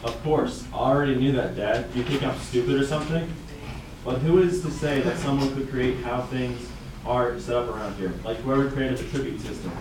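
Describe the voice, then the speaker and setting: A man's voice: medium pitch, fairly quick, close to an everyday voice. A man playing a curious, easily confused schoolboy in a scripted puppet show. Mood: challenging. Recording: very echoey room, distant mic, clear noise.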